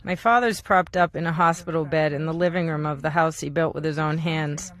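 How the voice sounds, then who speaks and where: A woman journalist narrating a personal documentary in a quiet, measured, gentle way. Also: sits low, fairly even intonation. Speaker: journalist narrating a documentary about her father.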